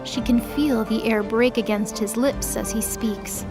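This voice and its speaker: A woman's voice: fairly high pitch, fairly even intonation, a little slow, over music. A woman giving scripted, dramatic narration as the narrator of an audio drama.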